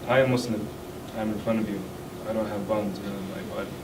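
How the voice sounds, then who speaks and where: A man from Kuwait, an international student speaking on a discussion panel in an earnest, measured way. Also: fairly low pitch, fairly even intonation. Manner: earnest, measured, pausing often. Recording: roomy, distant mic, noisy hall.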